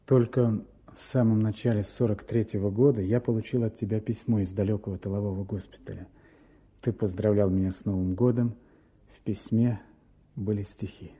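An actor playing a reflective war veteran narrating to a comrade in a radio drama, measured and solemn, with a husky voice. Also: male voice, on the low side, dark tone. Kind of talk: dramatic first-person narration, poetry reading.

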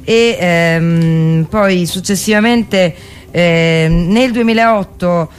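Low-pitched woman presenting on radio, halting delivery. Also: clear, resonant tone. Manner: halting, hesitant delivery with frequent fillers. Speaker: radio show host. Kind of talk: unscripted radio music presentation.

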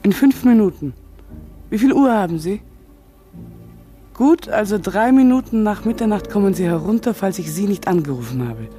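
An actress playing an uneasy hospital nurse on night duty. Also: female voice, medium pitch, lively intonation, moderate pace, faint music behind, lightly acted. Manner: uneasy, hushed.

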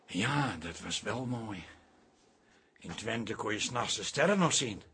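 An actor playing a bitter farmer's son from Twente in a radio play, sardonic and halting as he talks. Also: lowish voice, expressive, pushed and bright, a little hiss or hum. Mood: wistful.

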